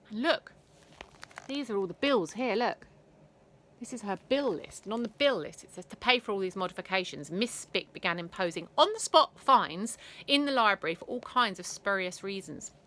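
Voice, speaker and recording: A woman reading a children's bedtime story aloud in a theatrical, emphatic manner, with dramatic pauses. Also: mid-range voice, sharp tone.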